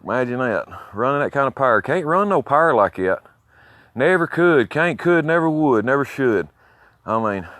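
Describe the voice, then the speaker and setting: A man's voice: mid-range voice, fairly bright tone, no room sound. Foul-mouthed, boastful Alabama man, dry sound. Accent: Southern US, Alabama. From southern United States. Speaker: CB radio hobbyist filming his own video. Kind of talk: rambling casual outdoor vlog. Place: outdoors near his home.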